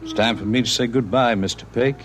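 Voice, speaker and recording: An actor playing a gentle, wistful alien visitor who speaks in formal, measured phrases in a 1950s radio drama. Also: male voice, medium pitch, lightly acted. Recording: noisy vintage radio broadcast recording.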